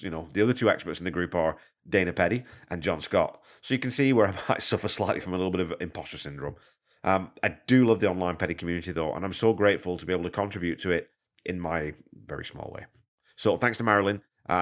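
A man hosting a podcast from a hotel room on a portable mic, slightly congested from a cold, chatty and self-deprecating as he patiently explains things. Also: deep voice. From Canada. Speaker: podcast host. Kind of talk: casual podcast monologue.

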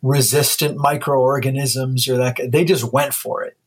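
A man's voice: medium pitch, level tone, moderate pace, breathy edge. A man co-hosting a casual science podcast, explaining infections in a loose, laughing way with frequent restarts. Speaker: podcast co-host.

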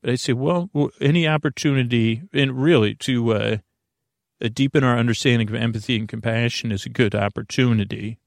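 A man with a creaky voice hosting a bedtime podcast, rambling in a lulling, halting way and often interrupting himself as he drifts into tangents. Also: mid-range voice, no echo, studio quality. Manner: meandering, halting, digressive, self-interrupting; soothing, lulling. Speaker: podcast host.

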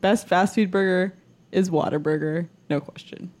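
A woman's voice: fairly low pitch, fairly even intonation, normal speed, darkish tone. A woman from Texas with a Texan accent, co-hosting a casual chat, chatty, with many fillers and frequent laughter. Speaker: podcast co-host.

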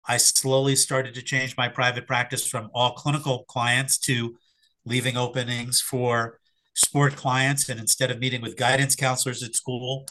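Bright-voiced man, a bit hoarse. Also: mid-range voice, level tone, moderate pace, rough recording. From Philadelphia area. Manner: reflective, hesitant with fillers and restarts. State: hoarse after covid. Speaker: sports psychologist guest. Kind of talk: casual interview answers.

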